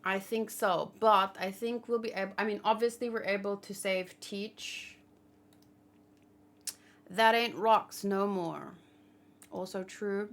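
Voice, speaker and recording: A woman's voice: mid-range voice, fairly even intonation, takes it slowly, pauses often. A woman hosting a casual livestream discussion, reasoning aloud and giving her opinions in a conversational way. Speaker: livestream host. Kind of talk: casual chat, manga discussion and commentary.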